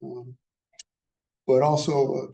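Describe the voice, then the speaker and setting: Soft-spoken older man in an echoey chamber. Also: medium pitch, level tone, vocal fry, highs cut off. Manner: halting, many fillers. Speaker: public commenter. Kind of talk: public comment at a city meeting. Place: council chamber. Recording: echoey chamber, mic at a distance.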